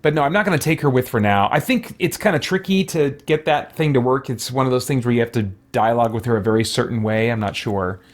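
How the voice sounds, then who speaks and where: A man's voice: mid-range voice, fast, hardly any pauses. A man hosting a let's play, chatting casually and thinking aloud in a rambling, musing way. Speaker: gaming host. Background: faint game music.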